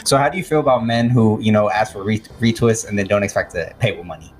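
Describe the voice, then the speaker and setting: A man's voice: fairly low pitch, fast talker, slight background noise. A man hosting a casual podcast interview, talking in a hesitant way full of fillers. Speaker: podcast host.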